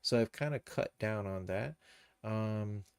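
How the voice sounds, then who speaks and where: A man giving a casual live software tutorial, thinking aloud and muttering to himself as he works. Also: lowish voice, unhurried, smooth, no room sound. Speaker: streamer, animation tutor.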